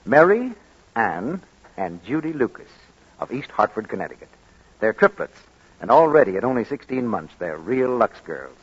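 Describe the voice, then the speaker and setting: A man's voice: mid-range voice, sing-song, moderate pace, slightly rough. A man announcing and reading a scripted commercial as a 1940s radio announcer. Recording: muffled 1940s radio broadcast.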